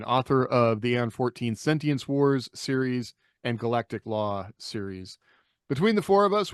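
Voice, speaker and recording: A man's voice: medium pitch, dry recording. Dry-sounding man hosting a podcast. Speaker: podcast host. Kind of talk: casual podcast chat and introductions.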